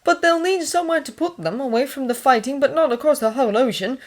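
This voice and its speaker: A woman's voice: high voice, fast talker, voice pushed up. A woman voicing a stiff, guarded young male hospital orderly with clipped, defensive speech in an audiobook. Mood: matter-of-fact. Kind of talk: audiobook character dialogue.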